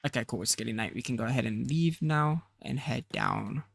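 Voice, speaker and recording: Tired gravelly male game streamer. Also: medium pitch, a little fast, dry recording. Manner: rambling, thinking aloud with fillers. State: tired, slightly rough voice. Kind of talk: casual let's-play commentary.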